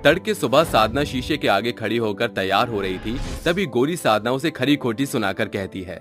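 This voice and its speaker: A man's voice: mid-range voice, expressive, normal speed, over music. A man reading a scripted story narration.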